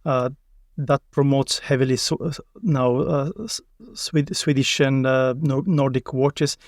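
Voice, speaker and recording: A Finnish man speaks English carefully, with a clear Finnish accent and a mid-range voice. He answers as a podcast guest over a video call, and the sound is dry. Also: mid-range voice, fairly even intonation, a little slow, no echo. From Finland. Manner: careful non-native English with restarts. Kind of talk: casual interview chat.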